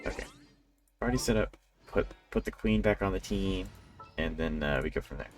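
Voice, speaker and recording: A man's voice: low voice, brisk. A man doing casual live gameplay commentary as a streamer, thinking aloud as he plays. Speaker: game streamer. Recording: game music faintly behind. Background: faint video game music.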